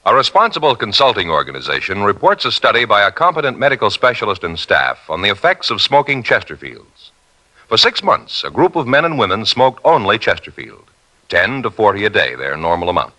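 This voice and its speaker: A man's voice: lowish voice, huge pitch swings, a little fast, pushed and bright, low-quality recording. A man reading a 1950s radio commercial as a formal, emphatic announcer. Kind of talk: 1950s radio advertising read. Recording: 1950s radio broadcast recording.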